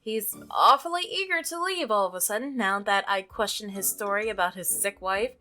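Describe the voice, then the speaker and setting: A woman's voice: medium pitch, normal speed, pushed and bright, faint music behind. A woman playing a visual novel, reading it aloud and reacting expressively, exclaiming and laughing at the story. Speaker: let's play gamer.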